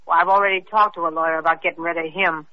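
An actress playing a brash, flippant young wealthy widow being questioned, in 1940s radio acting style. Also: female voice, fairly low pitch, steady tone, very fast, close to an everyday voice. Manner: flippant, sharp-tongued, mocking. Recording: muffled old radio recording.

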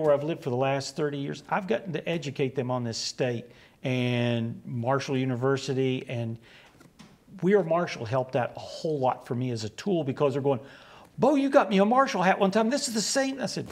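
Gravelly West Virginian man in his sixties. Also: a little high, expressive, moderate pace. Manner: rambling, anecdotal, acts out quoted exchanges. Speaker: guest, comic book writer. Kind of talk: relaxed storytelling interview. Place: small studio set. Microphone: lapel.

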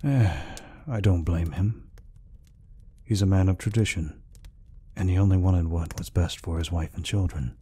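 A man voicing a gentle, peaceful orc healer in an ASMR roleplay, speaking softly and reassuringly without hurry. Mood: reflective, calm. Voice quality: deep, husky, breathy. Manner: soft, unhurried, reassuring. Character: gentle, peaceful male orc healer, soft-hearted caretaker.

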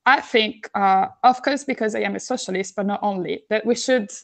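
A woman's voice: mid-range voice, fairly quick, creaky. A young woman speaking as a webinar panelist over a video call, earnest and thoughtful as she argues her point. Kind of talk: casual discussion. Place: indoor room. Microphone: video call webcam.

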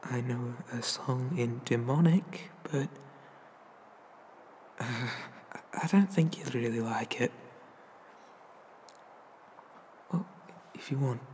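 A man voicing a shy, gentle young male demon in an ASMR roleplay, soft and hesitant, often slipping into whispers. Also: medium pitch, takes it slowly, lightly acted. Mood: nervous. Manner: hesitant, stammering, bashful. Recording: noticeable background noise.

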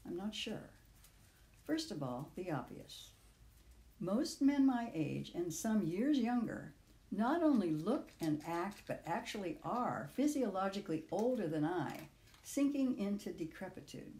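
An older woman in her sixties or beyond reads her own reflective personal essay aloud in a measured, literary way, with deliberate phrasing. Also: medium pitch, very animated, warm tone, echoey room. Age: 60s or older. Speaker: author reading own audio essay.

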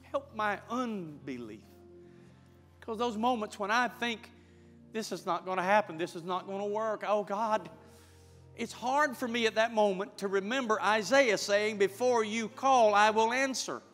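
A middle-aged man preaches and prays with rising fervor in a large church sanctuary. His voice sits high and sounds bright and forceful. Long hall reverb hangs on each phrase while soft music plays behind him. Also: unhurried. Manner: fervent, building to loud praying. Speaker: pastor. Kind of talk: sermon and prayer.

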